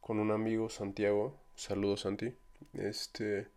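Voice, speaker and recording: A young Mexican man talks through a solo podcast in a low voice with little rise and fall. He rambles in a relaxed way, keeps coming back to fillers and restarts, and drops casual slang as he goes. His Mexican Spanish accent is clear throughout. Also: a little slow. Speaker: podcast host.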